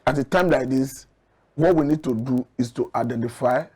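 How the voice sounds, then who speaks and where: A man with a Nigerian accent giving current affairs commentary as a guest analyst, measured and earnest as he argues his points. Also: mid-range voice, darkish tone, breathy edge.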